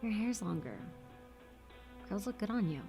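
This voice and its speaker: A woman's voice: medium pitch, lively intonation, moderate pace, warm tone, soft background music, close to an everyday voice. A woman acting in an audio drama as a guarded, defiant operative, with a curt, blunt, dry delivery. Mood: dry teasing.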